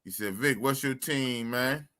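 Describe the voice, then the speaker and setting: A man hosting a live stream, talking loudly and casually with a humble manner. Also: mid-range voice. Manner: loud, slow drawl, humble.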